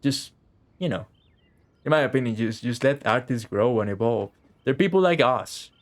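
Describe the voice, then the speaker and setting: A man's voice: medium pitch, lively intonation, normal speed. A man chatting casually on a game live stream, rambling and repeating phrases as he thinks aloud.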